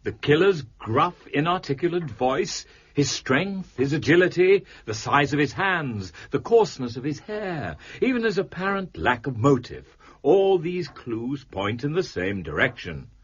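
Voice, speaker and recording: An actor playing a brilliant, eccentric amateur detective in an audio drama, arch and precise with wry theatrical delivery. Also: male voice, fairly high pitch, big pitch swings, unhurried, husky, heavily acted. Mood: commanding, triumphant. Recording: muffled, noisy lo-fi audio.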